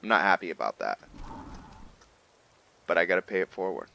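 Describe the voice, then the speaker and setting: A man with a low, bright-edged voice hosts a casual roleplay gaming video. He takes his time, thinking aloud and often leaving sentences unfinished. Faint background noise sits behind him. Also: sing-song, vocal fry. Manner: thinking aloud, halting, trailing off mid-sentence. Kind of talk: casual roleplay game commentary.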